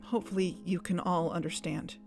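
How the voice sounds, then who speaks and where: An actress playing an earnest, uneasy young woman investigator, narrating her own story in a measured, confessional way for an audio drama. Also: lowish voice, fairly even intonation, vocal fry, over music. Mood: reflective, guarded. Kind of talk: scripted first-person audio drama narration.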